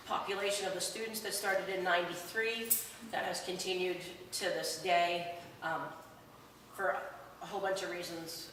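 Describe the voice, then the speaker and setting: A woman gives her campaign remarks from across a big, echoing hall, her slightly low voice carrying over steady room noise. She hesitates often, filling gaps with ums as she lists her experience. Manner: hesitant, many um fillers. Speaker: school board candidate. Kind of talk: campaign speech at a public meeting. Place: large echoey public hall. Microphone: no microphone, far from the recorder. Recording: roomy hall echo, distant and noisy.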